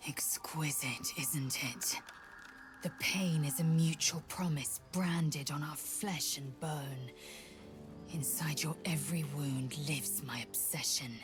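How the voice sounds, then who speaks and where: A woman voicing a fierce, passionate alien warrior woman in a video game, speaking in intense, solemn, breathy whispers. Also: deep voice, slow. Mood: intimate, hungry. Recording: music behind the voice.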